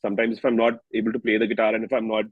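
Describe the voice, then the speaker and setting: A man with an Indian accent speaking reflectively as an interviewed singer-songwriter, haltingly and often rephrasing himself. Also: on the low side, monotone, husky, no echo, narrow, tinny audio. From New Delhi, India.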